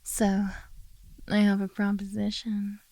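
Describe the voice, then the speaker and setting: A woman playing a possessive, coaxing beastkin monster girl in a soft, teasing ASMR roleplay. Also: mid-range voice, completely flat delivery, takes it slowly, dry recording.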